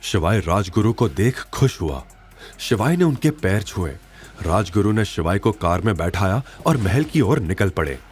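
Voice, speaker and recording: A male narrator with a low, husky voice tells a dramatic story. His delivery is highly animated, set over a music bed. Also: moderate pace.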